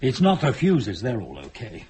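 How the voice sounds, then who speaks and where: A man's voice: medium pitch, wildly swooping pitch, normal speed, a crowd in the background. A man with an English accent acting an exasperated, anxious middle-class husband and dinner host in a radio horror play, agitated and grumbling.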